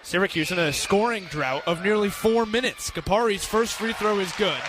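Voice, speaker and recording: American male play-by-play commentator, high voice, projecting over a noisy arena crowd. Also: normal speed. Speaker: radio play-by-play basketball commentator. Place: courtside in an indoor basketball arena. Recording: loud arena crowd noise, bouncing balls. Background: crowd, ball bounces.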